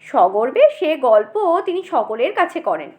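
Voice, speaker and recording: A woman's voice: high voice, sing-song, a little slow, a little mellow. A woman narrating a humorous short story expressively, as an audiobook storyteller.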